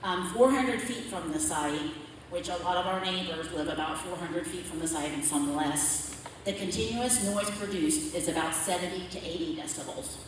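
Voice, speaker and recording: A low-voiced woman walks a community forum through her slides, explaining step by step and checking in with the room. She sounds far from the mic, her voice carrying through a big, echoing hall with a long reverb tail. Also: moderate pace, slight background noise. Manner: plain explanatory, asks "okay?" to check in. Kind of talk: public presentation with slides. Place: large echoey meeting hall.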